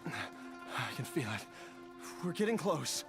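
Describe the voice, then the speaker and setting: A man voicing a frail, grieving man in a video game, speaking in a weak, halting, hushed way. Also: mid-range voice, big pitch swings, music in the background. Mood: weak, longing. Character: frail, grieving man yearning for his lost love. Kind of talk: video game dialogue acting.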